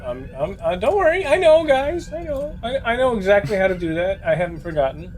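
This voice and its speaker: A man's voice: sits high, sing-song, fairly quick, a little mellow. A man chatting casually as a player in a tabletop role-playing game.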